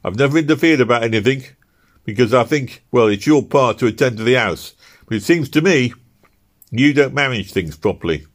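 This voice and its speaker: A man lifts his voice a few notches to play an earnest young working-class husband in a storybook reading. He is awkward and stammers over his words, pitched above the reader's own low voice. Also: expressive, measured pace. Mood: reproachful. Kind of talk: audiobook character reading.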